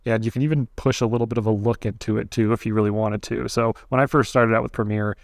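Male podcast host, nasal, casual and rambling, lowish gravelly tone. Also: steady tone, quick pace, dry recording, clean studio sound. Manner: chatty, loose, many "like" fillers. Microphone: close studio mic angled up.

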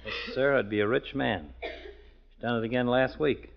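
An actor playing an easygoing small-town barber with a folksy, flippant manner in 1940s radio comedy style. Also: male voice, mid-range voice, normal speed, creaky, close to an everyday voice. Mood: wry, joking. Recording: muffled old radio broadcast.

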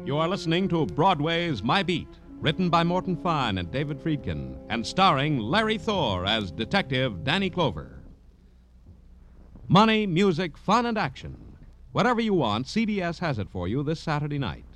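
A man's voice: a little high, sing-song, moderate pace, over music. An American man announcing in old-time radio style, with a punchy, emphatic showman delivery. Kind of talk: 1940s-50s radio announcing, promotional read.

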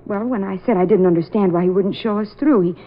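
A woman's voice: mid-range voice, fast, close to an everyday voice. An actress playing a timid, jumpy woman with a nervous, hesitant manner in a radio drama. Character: jumpy, timid young woman house-hunting. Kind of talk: old-time radio drama acting. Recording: muffled, noisy old radio recording.

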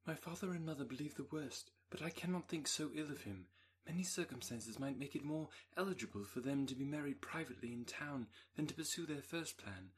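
A man reading a young woman's anxious letter aloud in an audiobook, in an even, composed way. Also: a little high, a little hiss or hum, lightly acted.

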